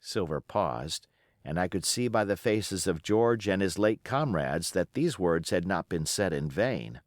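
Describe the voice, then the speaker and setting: A man's voice: low-pitched, expressive, measured pace, no room sound, studio quality. A man reading an adventure novel aloud as scripted audiobook narration. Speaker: audiobook reader.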